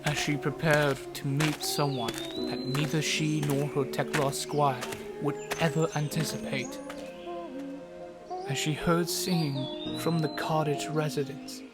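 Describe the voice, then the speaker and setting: A man narrating a fantasy tale as a storybook narrator, measured and dramatic, in scripted audio drama style. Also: on the high side. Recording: loud music under the voice. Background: music.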